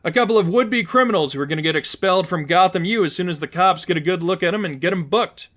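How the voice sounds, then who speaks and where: A cocky young superheroine from a storybook reading, played by a man, talks in a raised pitch. She is breezy and sarcastic, tossing out gleeful wisecracks in a chatty way. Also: quick pace, fairly bright tone. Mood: sardonic.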